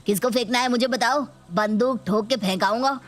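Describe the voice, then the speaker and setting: A woman's voice: on the high side, brisk, close to an everyday voice. A woman voicing a cocky, protective young male thug with a blunt, bragging manner in a story video. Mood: brash. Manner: blunt bragging with a repeated gun catchphrase. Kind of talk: dramatised Hindi story video.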